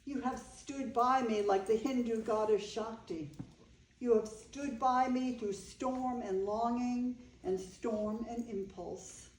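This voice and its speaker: A woman's voice: a little high, slow, darkish tone. A woman reading the part of an older bride in a staged play, wry and fondly reminiscing. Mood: tender. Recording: roomy, echoing live room recording.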